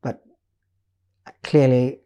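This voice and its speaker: An older woman, raised in Portugal, telling her life story as an artist in a measured, patient interview manner. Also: warm tone. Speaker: guest artist.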